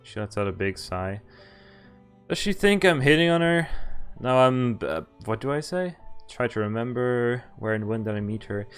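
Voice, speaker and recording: A man's voice: mid-range voice, animated, normal speed, smooth, soft background music. Man reading a visual novel aloud. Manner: reads the story aloud, then breaks off with laughing asides. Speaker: streamer playing a visual novel. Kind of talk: game playthrough, reading lines aloud with commentary.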